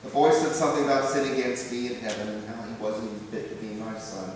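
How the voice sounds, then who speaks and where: A man's voice: medium pitch, level tone, fast talker, a little mellow, close to an everyday voice. A man playing a loving, emotional father of grown sons in a church readers theater monologue, speaking in a heartfelt, reflective way. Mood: joyful. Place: large church room, live before congregation. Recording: very echoey church hall, distant, faint noise.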